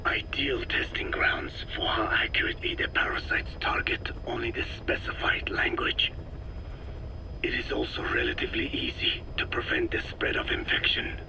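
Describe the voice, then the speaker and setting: Old frail scientist in a video game, whispery low raspy voice, slow n precise, very grave. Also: male voice, very animated, poor audio. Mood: grave, clinical. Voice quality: breathy, whispering, weak rasp. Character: frail old male scientist explaining his work, measured and grave. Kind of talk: dramatic game voice acting, expository dialogue.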